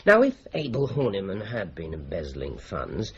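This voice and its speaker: A man's voice: low-pitched, huge pitch swings, normal speed, slightly rough, exaggerated voice. An actor playing a polite but persistent male police inspector questioning people in a radio drama. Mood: probing. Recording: dull lo-fi broadcast recording.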